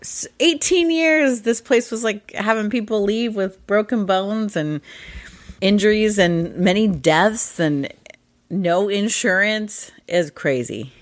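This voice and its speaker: A woman co-hosting a podcast in casual chat, husky in her morning voice, chatty and laughing often. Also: medium pitch, slow, no room sound. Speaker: podcast co-host.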